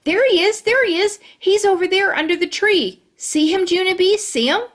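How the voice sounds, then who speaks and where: A woman voicing an eager, excitable little schoolgirl who squeals her lines. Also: high-pitched, measured pace. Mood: excited.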